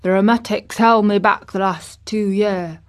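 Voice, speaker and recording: A woman's voice: mid-range voice, steady tone, measured pace, lightly acted. A woman voicing a crabbed but tender old gardener with a Yorkshire accent, dry and grumpy, in a storybook reading. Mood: stubborn, dry. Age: older.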